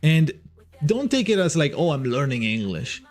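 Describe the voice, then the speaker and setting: A man's voice: a little high, big pitch swings, moderate pace, faint music behind. A young man from Italy with an Italian accent, chatting casually on a livestream, rambling and restarting his sentences and swearing now and then. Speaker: streamer.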